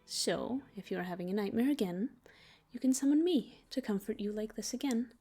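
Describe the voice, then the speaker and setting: A woman voicing a gentle, protective, teasing female demon in an ASMR comfort roleplay, speaking soothingly, intimately and unhurriedly, at times in a whisper. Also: a little high, lively intonation, brisk, husky, soft background music. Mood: caring, reassuring.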